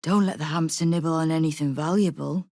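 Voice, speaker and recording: A hard-bitten female guild boss from a fantasy game speaks in a low, gravelly voice, close and dry in the recording. She is sardonic and commanding, used to giving orders and making threats with dry wit. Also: fairly even intonation, a little fast, darkish tone, dry recording. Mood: wary, wry. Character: tough, sardonic woman crime boss ruling a city thieves' guild. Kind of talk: video game character acting.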